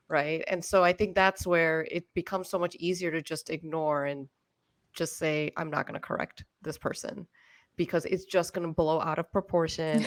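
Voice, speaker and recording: A woman co-hosting a podcast, speaking in an earnest, measured way in casual chat. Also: deep voice, no echo. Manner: earnest and measured, with frequent agreeing interjections. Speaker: podcast co-host.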